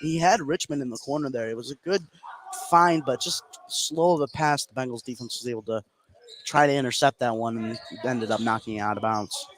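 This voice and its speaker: A man's voice: medium pitch, a little fast, a bit bright. A man working as a color commentator on live basketball, explaining plays in casual, conversational remarks. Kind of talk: live sports analysis, conversational.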